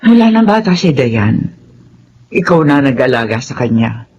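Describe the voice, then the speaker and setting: An actress playing a sorrowful, apologetic rich mother and employer with a measured, earnest manner in a Filipino radio drama. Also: very deep voice, big pitch swings, a little mellow, breathy edge, full character voice. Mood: remorseful. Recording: dull, muffled audio.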